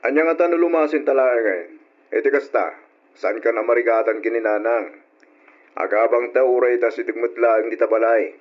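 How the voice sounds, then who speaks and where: A medium-pitched male voice with a Filipino accent plays a caring husband and dutiful son an actor's role. He is solicitous and questioning, in an old radio drama with dull, lo-fi sound. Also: normal speed, lightly acted. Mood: concerned. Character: concerned, affectionate adult husband and dutiful son.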